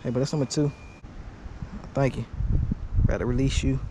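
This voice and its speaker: A man's voice: medium pitch, steady tone, moderate pace, background noise. A young man talking casually and in slang as he narrates his own fishing vlog. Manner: slangy, informal. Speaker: fishing vlogger. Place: outdoors in a small boat on a lake. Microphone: body-worn action camera.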